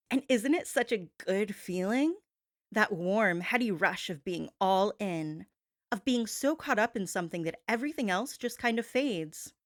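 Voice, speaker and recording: A woman's voice: mid-range voice, no echo, studio quality. A woman delivering a performed gossip-show monologue as a host, sassy and theatrical, leaning on words for dramatic emphasis. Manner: sassy, theatrical, drawn-out emphasis, addresses listener as babe.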